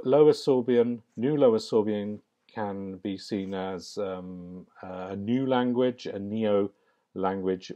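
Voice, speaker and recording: A man delivers a slide lecture in a low, mellow voice. He speaks in a measured, academic way and often pauses with an um. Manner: measured, academic, with frequent ums. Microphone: voice-over on slides.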